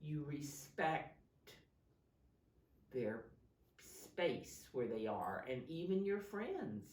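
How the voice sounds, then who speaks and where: A woman reading a storybook aloud to young children as a teacher, pausing to explain it simply and ask questions in a deliberate, instructive way. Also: deep voice, big pitch swings, darkish tone. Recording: roomy echo, distant microphone.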